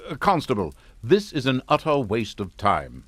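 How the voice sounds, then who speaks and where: Pompous, scheming father for radio play, condescending, lively intonation, dry studio sound. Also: mid-range voice, moderate pace, husky, no room sound, exaggerated voice. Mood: pompous, dismissive. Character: domineering, pompous English family patriarch, coolly scheming father. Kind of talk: radio drama acting.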